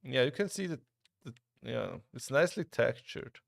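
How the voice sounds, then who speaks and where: A man's voice: mid-range voice, normal speed, creaky, no echo, clean studio sound. A young man from Switzerland streaming a game live, chatting casually with his viewers and reacting as he plays, often restarting his sentences. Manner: relaxed, chatty, talks to chat, frequent restarts. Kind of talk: casual live-stream commentary while gaming.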